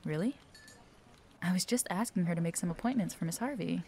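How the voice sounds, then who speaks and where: Friendly, curious female office colleague, played by a woman, lower-pitched, chatty and supportive, for audio roleplay. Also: fairly quick, mellow, a little hiss or hum, natural voice. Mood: cheerful.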